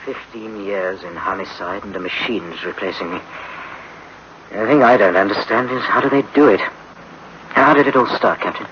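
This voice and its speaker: A man's voice: on the low side, normal speed, very noisy, lightly acted. A man playing a disgruntled, skeptical veteran homicide cop in a radio drama, sardonic and slangy, on a muffled old recording. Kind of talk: 1960s-style radio drama acting.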